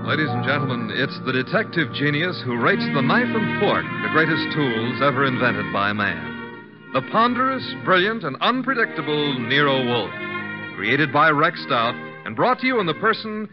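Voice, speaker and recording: A man's voice: fairly high pitch, sing-song, normal speed. A man announcing a 1950s American radio show in a grand, dramatic style. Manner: grand, theatrical. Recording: dull 1950s radio recording with loud music. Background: loud music.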